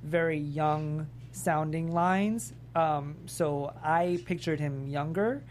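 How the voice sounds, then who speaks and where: A woman's voice: low-pitched, slow, a little mellow. A woman speaking as a panel guest, offering opinions casually and a bit tentatively. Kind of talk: casual discussion. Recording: background room noise.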